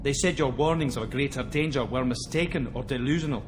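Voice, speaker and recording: A man's voice: mid-range voice, fast talker, close to an everyday voice. A man voicing a brash, blunt, cheeky starship engineer in a video game cutscene. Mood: indignant. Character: brash, outspoken, loyal male starship engineer. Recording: noisy ship ambience behind.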